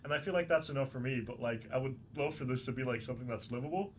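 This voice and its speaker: A man's voice: medium pitch, rapid-fire, a little hiss or hum. A young man from Romania, a drummer in a band, speaking earnestly and thoughtfully about making music. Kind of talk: casual interview.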